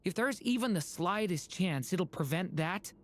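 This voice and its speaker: A woman voicing a gentle, anxious, earnest young boy in a video game, speaking softly and hesitantly. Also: on the low side, expressive, normal speed, natural voice. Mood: earnest, worried.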